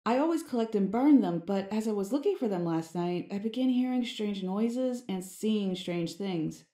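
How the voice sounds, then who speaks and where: Woman as curious game villager, soft dark tone, mid pitch, echoey. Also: expressive, normal speed, natural voice. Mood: curious, uneasy. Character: curious, uneasy woman investigating ghostly drawings. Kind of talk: video game character dialogue. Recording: roomy echo, faint music behind.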